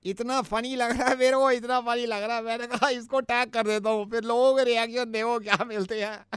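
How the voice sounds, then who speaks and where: Man playing a cheeky, high-voiced young pest. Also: level tone, moderate pace, fairly bright tone, clear, resonant tone, dry recording, clean studio sound. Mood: teasing. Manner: needling, bossy, falsely familiar. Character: young man, cheeky acquaintance pestering and threatening a woman. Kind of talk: radio prank phone call.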